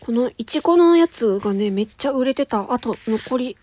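A woman's voice: fairly high pitch, animated, normal speed, a little mellow, dry recording. A woman chatting casually in a food-tasting ASMR style, murmuring short remarks between mouthfuls and at times dropping toward a whisper. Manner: talks while eating ice cream. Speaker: audio streamer.

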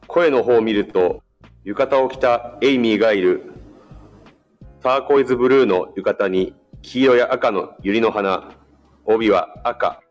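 A man's voice: lowish voice, a little slow, soft background music. A man reading scripted narration for a radio drama.

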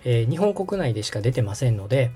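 Male podcast instructor, polite and explanatory, with a soft-edged mellow tone and a slightly husky grain. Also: medium pitch, very animated, quick pace, soft background music. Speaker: podcast host and radio director, giving instructions. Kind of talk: step-by-step app tutorial over background music.

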